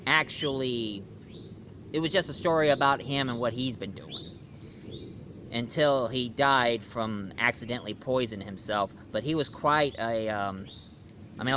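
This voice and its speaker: A man hosting a casual, unscripted review, talking in a halting, rambling storyteller's way. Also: mid-range voice, bright, forceful tone. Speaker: host, film and book reviewer. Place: outdoors. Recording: noisy outdoor recording with insects.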